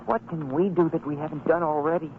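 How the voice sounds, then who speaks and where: A man's voice: on the high side, steady tone, fast talker, lightly acted. An actor playing an earnest, dutiful and anxious young son of a fisherman in a religious radio drama. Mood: distressed. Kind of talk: old-time religious radio drama acting. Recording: tinny old radio recording, clear background noise.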